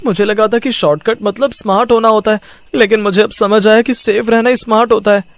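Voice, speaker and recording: A man's voice: high voice, fairly even intonation, a little fast. A man voicing a young boy, a childlike and eager son in a cartoon story. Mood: subdued, sincere. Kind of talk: cartoon moral story acting.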